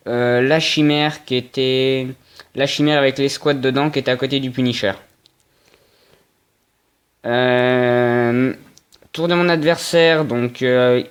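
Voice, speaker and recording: A man's voice: medium pitch, clear, resonant tone. A young man with a cold, sniffling a little as he casually narrates a tabletop wargame battle report, hesitating often with fillers and restarts.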